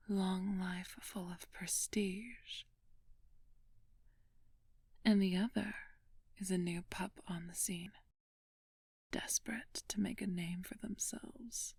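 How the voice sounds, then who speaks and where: Woman doing an ancient vampire lady for asmr roleplay, haughty n flirty, breathy whisper with some gravel, pretty even pitch. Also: dry recording, full character voice. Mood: seductive, smug. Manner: slow, teasing, commanding, haughty. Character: flirtatious, imperious ancient female vampire thief.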